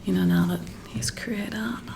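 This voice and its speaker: A woman doing soft-spoken ASMR, talking in a hushed, intimate, musing way as if chatting to one listener. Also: lowish voice, steady tone, moderate pace, vocal fry, noisy background. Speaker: ASMR roleplay performer. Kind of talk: soft-spoken whispered ASMR, casual one-sided chat.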